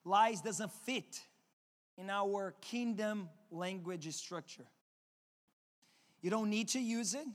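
A man with a Portuguese accent, a native Portuguese speaker, preaching a sermon earnestly and often restarting his words. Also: sits high. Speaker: pastor. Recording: long hall reverb.

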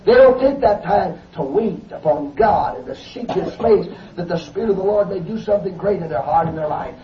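A man preaching a fervent Pentecostal sermon, building to shouts and calling out hallelujahs. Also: sits high, lively intonation, a little fast. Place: church service. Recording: echoey dull lo-fi recording from a distance.

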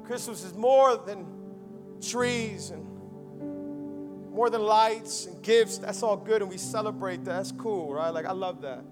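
A young man from Miami preaching a Christmas sermon, moving between rousing calls and quiet personal talk. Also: high-pitched, measured pace, over music. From United States (Miami). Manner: rousing preaching, swinging from loud and fervent to quiet and intimate. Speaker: pastor.

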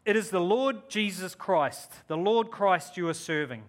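A man's voice: sits high, animated, measured pace. A man in his forties from New Zealand, with a New Zealand accent, giving an enthusiastic guest sermon and prayer at a church service. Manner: enthusiastic, upbeat and effusive. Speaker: guest preacher.

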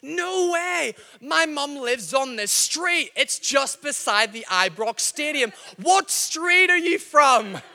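A man's voice: extremely high pitch, animated, takes it slowly, gravelly, few pauses, pushed and bright, big-room sound, voice pushed up, exaggerated voice. A man voicing a confident middle-aged dad at a family dinner, chatty and keen to impress his family. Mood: eager.

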